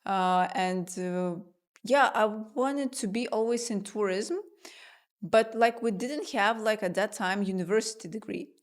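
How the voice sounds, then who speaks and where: A woman's voice: medium pitch, unhurried. A woman in her twenties from Lithuania, a native Lithuanian speaker, chatting as a podcast guest with many fillers. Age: 20s. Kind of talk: podcast interview.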